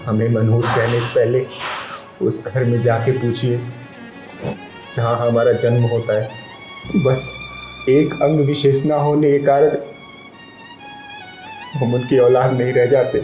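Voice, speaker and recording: A man's voice: mid-range voice, flat delivery, slow pace, pauses often, natural voice. A grieving transgender elder pleads for dignity, earnest and preachy, as a man acts her out. The voice sits in a middle register. The melodramatic web drama scene carries a roomy echo with faint music underneath. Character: aggrieved wise transgender elder.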